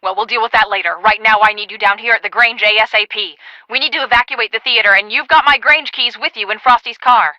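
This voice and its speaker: A woman playing an earnest, take-charge storm-chasing project manager in a video game, speaking in a brisk, businesslike way. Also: on the high side, bright, forceful tone, no room sound, dull audio. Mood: urgent.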